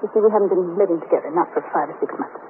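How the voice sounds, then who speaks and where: Thin, noisy vintage radio sound frames an actress as an anxious American wife. She talks quickly and politely, with a hesitant, earnest manner typical of 1950s radio drama. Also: fairly low pitch, narrow, tinny audio, close to an everyday voice. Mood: uneasy, earnest.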